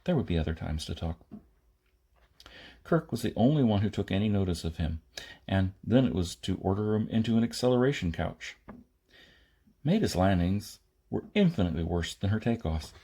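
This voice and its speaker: Low-pitched man reading fiction, slightly husky. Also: sing-song, moderate pace, mellow. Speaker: audiobook reader. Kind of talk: amateur reading of a science fiction novel.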